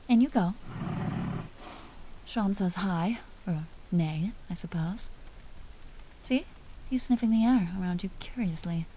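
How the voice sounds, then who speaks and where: Woman voicing a vampire duchess, husky, dark mellow tone, imperious, teasing, tender underneath, intimate roleplay drama. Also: medium pitch, low-quality recording. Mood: playfully commanding. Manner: imperious and teasing, breaking into hushed confiding. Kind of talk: roleplay audio drama addressed to the listener.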